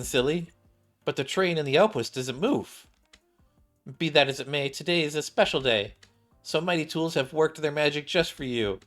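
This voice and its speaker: A man reading video game dialogue aloud as a streamer, acting out parts and sometimes stumbling over lines and rereading them. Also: a little high. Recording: music playing behind the voice.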